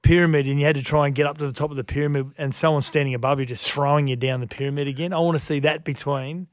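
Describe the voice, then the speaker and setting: A man's voice: fairly high pitch, steady tone, racing, no room sound. A man co-hosting a radio show, riffing on the news with playful, absurd jokes. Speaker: radio co-host. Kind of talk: casual banter.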